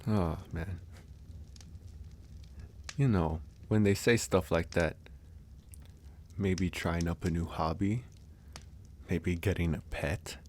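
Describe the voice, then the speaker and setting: A man playing a gentle, reassuring young werewolf who lives alone on a mountain, soft-spoken in an ASMR roleplay. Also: low-pitched, fairly even intonation, slow, long pauses, slight background noise. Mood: gently chiding.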